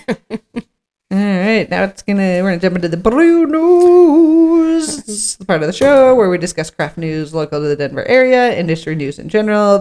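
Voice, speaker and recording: A woman's voice: medium pitch, darkish tone. A woman co-hosting a podcast in an informal chat, partly reading from notes and thinking aloud. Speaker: podcast co-host.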